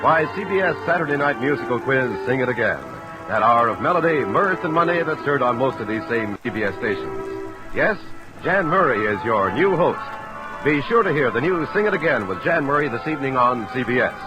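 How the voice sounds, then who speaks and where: A man's voice: mid-range voice, lively intonation, fairly quick, music in the background, low-quality recording. A man doing polished, scripted 1950s radio announcing, with a promotional delivery.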